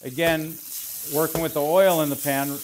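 A man in his forties, a chef instructor, explaining each step in a relaxed way as he cooks in a large teaching kitchen. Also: on the high side, normal speed, a little hiss or hum. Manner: relaxed, explanatory, thinking aloud while working. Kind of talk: cooking demonstration. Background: food sizzling in a pan.

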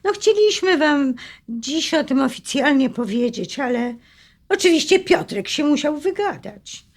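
Actress playing a lively high-voiced, sharp-tongued wife. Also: female voice, a little slow, heavily acted. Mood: teasing, affectionate. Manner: expressive, ironic, needling. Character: Majka, sharp-tongued newly married woman, defensive and teasing. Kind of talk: Polish audio drama acting.

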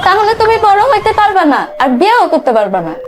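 A woman voicing an excitable, bossy and cajoling mother in a comedy cartoon, with a Bangladeshi accent. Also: very high voice, fast talker, heavy background noise. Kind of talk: Bengali comedy cartoon dub. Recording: loud music over the voice.

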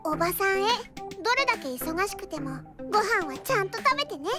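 A woman's voice: very high voice, big pitch swings, fairly quick, over loud music. A woman voicing a small, girlish academy headmistress who frets and threatens punishment, in anime acting. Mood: fretful. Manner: doting yet threatening, fretful.

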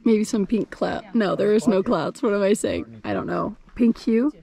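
A woman's voice: mid-range voice, normal speed, gravelly, mellow. A young woman chatting casually and enthusiastically for a travel vlog, recorded on a camera held at arm's length. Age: young adult. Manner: enthusiastic, informal. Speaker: travel vlogger. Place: handheld vlog camera, mostly outdoors, also car and hotel room.

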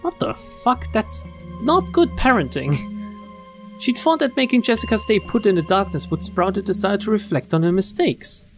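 A streamer with a mid-range, very animated voice reads a visual novel aloud. The delivery is expressive and slips into casual, joking commentary. Game music and rain play behind the voice. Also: mid-range voice, moderate pace. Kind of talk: let's play read-aloud with casual asides.

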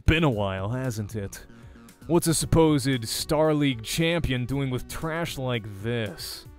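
A man's voice: medium pitch, animated, takes it slowly, soft background music. A man voicing an arrogant, condescending young male rival gamer in a visual novel. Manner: condescending, smug.

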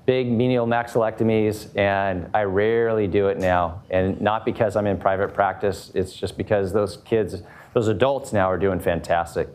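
Male panelist, conversational, slightly low voice. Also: steady tone, normal speed, darkish tone, clean tone. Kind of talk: conference panel talk. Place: conference room.

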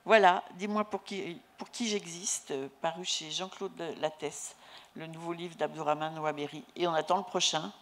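A woman's voice: on the low side, steady tone, moderate pace. A woman in her sixties hosting a literary panel at a festival, reading excerpts aloud into a handheld mic. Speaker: panel host. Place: large festival tent with live audience. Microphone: handheld microphone.

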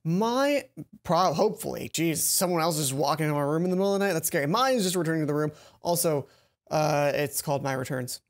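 A man's voice: fairly high pitch, expressive, a little fast. A man hosting a let's play, chatting casually from a home room into a headset. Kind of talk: casual game commentary. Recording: game music behind.

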